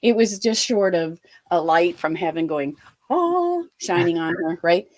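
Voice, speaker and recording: A woman from Ohio in her fifties recounts her past as an interview guest. Her voice sits a little low, and she slips into quoted thoughts with animated energy. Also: a little slow. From Ohio, USA. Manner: lively, animated retelling with quoted asides. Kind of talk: storytelling interview.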